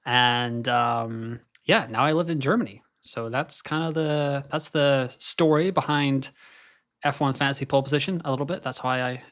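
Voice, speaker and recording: A man's voice: medium pitch, fairly bright tone. A young man with an American accent, born in Scotland, hosting a casual live stream and chatting while he watches qualifying. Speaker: livestream host.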